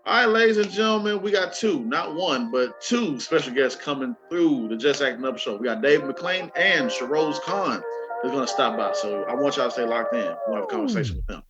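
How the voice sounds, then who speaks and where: A man hosting a casual talk show, slangy and relaxed, then upbeat when he introduces the guests. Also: medium pitch, brisk, lo-fi sound, music in the background. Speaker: podcast host.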